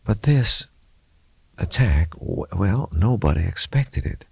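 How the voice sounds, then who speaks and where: Troubled female officer read by a man, gentle, halting, slightly lifted pitch, close dry audiobook sound. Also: big pitch swings, creaky, slightly rough, dry recording, heavily acted. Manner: careful, hesitant, groping for words. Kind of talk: audiobook character acting.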